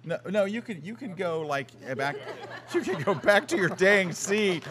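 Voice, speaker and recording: A man hosting a live show, his voice sitting a bit high for a man. He teases his guests and tosses off quick jokes in a playful, loose way. It sounds like a live event in front of an audience. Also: expressive, fairly bright tone. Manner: teasing, playful, quick asides. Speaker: show host. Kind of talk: joking live show banter.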